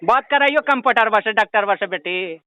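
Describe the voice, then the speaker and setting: An ailing old villager from a comic prank call, with an extremely high, clear voice and a Bihari accent. The old villager whines and pleads, rambling on to a daughter in a dry, close sound. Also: male voice, animated, fairly quick, pushed and bright, dry recording. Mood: fussing. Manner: pleading, rambling, repeatedly addressing the listener as daughter. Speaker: prank caller.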